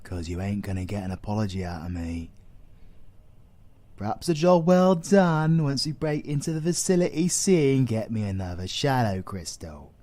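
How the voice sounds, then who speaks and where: A man's voice: fairly low pitch, moderate pace, darkish tone, natural voice. A British man playing a cocky, foul-mouthed supervillain in an audio roleplay, crude, teasing and sarcastic. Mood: grudging. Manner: crude, teasing, sarcastic, heavy slangy swearing. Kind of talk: audio roleplay acting addressed to the listener.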